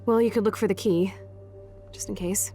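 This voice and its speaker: A woman's voice: mid-range voice, steady tone, moderate pace, warm tone, close to an everyday voice. A young American woman playing a brash, rebellious, impulsive punk teenage girl in a video game, cocky and slangy. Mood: cocky, teasing. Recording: music behind the voice.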